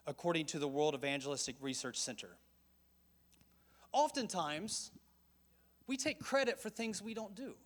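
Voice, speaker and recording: A middle-aged man giving a conference talk to a church audience, conversational and joking, with asides. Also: high voice. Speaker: missions conference speaker.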